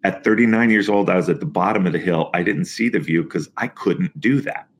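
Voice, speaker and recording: A man's voice: low-pitched, a little fast, a little hiss or hum. A man in his fifties, a nutrition coach talking as a guest on a podcast interview, emphatic and motivational. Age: 50s.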